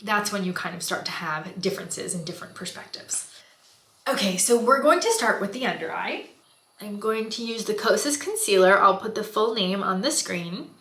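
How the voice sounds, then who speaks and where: A woman with a stuffed-up, congested voice talks casually as she does her makeup, mixing explanation with fillers and apologetic asides. Her tone is bright and forward. The room around her is echoey. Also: mid-range voice, animated, moderate pace. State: stuffy nose from a cold. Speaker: beauty and mental health vlogger. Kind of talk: casual talking-head explainer while doing makeup. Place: home in Goma, Africa.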